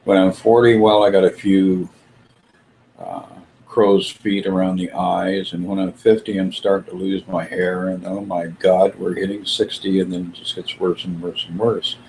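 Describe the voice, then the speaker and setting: A man hosting a spiritual discussion, talking in a slow, musing way with frequent pauses. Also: low voice, level tone, a little mellow, a little hiss or hum, low-quality recording.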